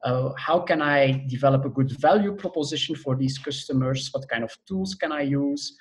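A man's voice: medium pitch, moderate pace. A middle-aged man from Belgium, a professor of entrepreneurship, answering interview questions in a thoughtful way that explains things step by step, with frequent hesitations.

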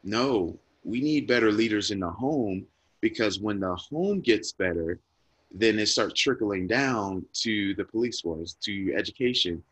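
Man, lowish voice, earnest, emotional, dry close recording. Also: animated, measured pace, a bit bright, slightly rough, dry recording. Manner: earnest, reflective, repeats words when moved. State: emotional, near tears. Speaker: guest speaker. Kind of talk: emotional personal storytelling.

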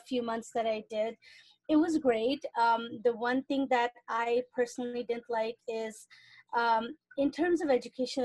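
A woman's voice: on the high side, darkish tone, no room sound, rough recording. A young adult woman from Iran, a guest on a podcast, talking casually and thoughtfully with frequent fillers. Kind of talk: casual interview talk.

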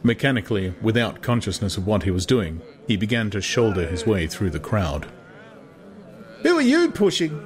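A man's voice: low-pitched, a little fast, hardly any pauses. A man reading a novel aloud as narrator, in dramatized, scripted literary storytelling. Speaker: audiobook narrator.

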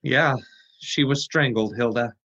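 An actor playing a stubborn, smooth-talking lawyer and fiancé in trouble, in the style of a vintage radio crime drama. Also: male voice, mid-range voice, a little slow, sharp tone, faint music behind. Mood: grim, flustered. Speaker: actor in a live radio theatre retelling. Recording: muffled, band-limited audio.

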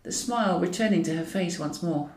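A woman's voice: on the low side, fairly quick, a little mellow. A woman reading a comic novel as scripted narration, with a wry, dryly ironic way of telling it. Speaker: author reading own novel. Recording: roomy echo, distant from mic.

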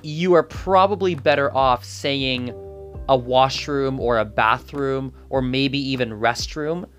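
Man from Canada, first language Gujarati, mid pitch, casual rambling vlog monologue, faint music bed. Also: takes it slowly, fairly bright tone. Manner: rambling, many restarts and asides. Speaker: polyglot vlogger.

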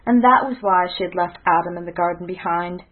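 A woman's voice: on the low side, fairly quick. Woman reading poetry through phone-like audio. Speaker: poetry reader.

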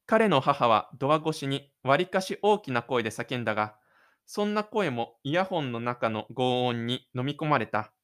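A male narrator tells a story in an audio drama. His voice is a little higher than average, and he reads in a scripted, literary style. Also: fairly bright tone, smooth.

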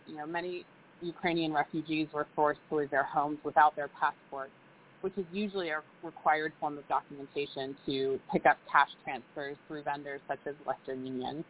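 Woman giving a charity update, measured, tinny line with a little hiss. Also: low-pitched. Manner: measured, repeats words while rephrasing. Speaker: charity vice president. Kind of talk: prepared update on a podcast.

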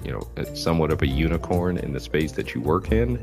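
Host, male, very low voice, easygoing interviewer with filler-heavy speech, music bed under him. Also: fairly quick. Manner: relaxed, many fillers and restarts. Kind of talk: casual interview.

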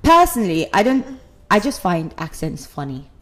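A woman's voice: lowish voice, sing-song, moderate pace. Playful woman, Nigerian-tinged British accent. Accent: neutral British with Nigerian. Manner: playful, chatty, laughs between points. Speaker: podcast co-host. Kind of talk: casual chat.

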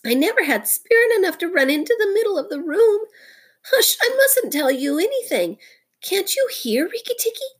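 A woman's voice: very high voice, very animated, moderate pace, fairly bright tone, voice pushed up, exaggerated voice. A woman voicing a timid, sorrowful little male muskrat from a storybook, whimpering and pleading. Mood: sobbing. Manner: weepy, pleading, sobbing.

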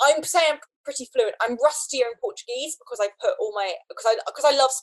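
A young woman in casual conversation, animated, often restarting her sentences and laughing between thoughts. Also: high voice, quick pace. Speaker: guest.

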